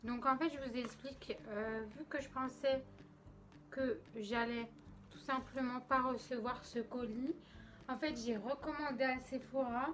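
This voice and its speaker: A woman's voice: high-pitched, steady tone, unhurried, fairly bright tone, faint music behind. A young woman with a slight cold in her throat, chatting casually and giggling as she unboxes makeup. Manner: chatty, filler-heavy, giggly. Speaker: beauty vlogger.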